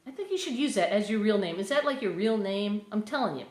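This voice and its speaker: A woman's voice: medium pitch, lively intonation, quick pace, echoey room. An English woman hosting a casual livestream, chatting with viewers, reading out their names and thanking them between songs. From England. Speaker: livestreaming singer-songwriter. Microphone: phone livestream.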